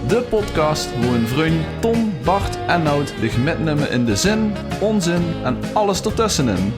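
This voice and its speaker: Loud country guitar music plays behind a man. He announces a podcast intro with lively, swinging intonation. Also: a little high, unhurried.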